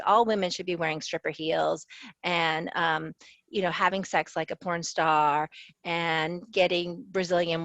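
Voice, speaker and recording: A woman's voice: on the low side, a bit bright, no room sound, lo-fi sound. A woman sociology professor from the American South, answering interview questions earnestly and thoughtfully, often laughing. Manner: earnest, thoughtful, laughs easily, restarts phrases. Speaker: sociology professor and author, guest.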